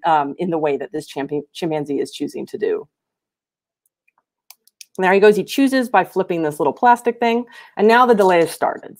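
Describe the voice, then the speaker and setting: A woman's voice: on the low side, darkish tone. A woman giving an explanatory research seminar talk over a video call, sometimes repeating words as she goes. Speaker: academic researcher presenting.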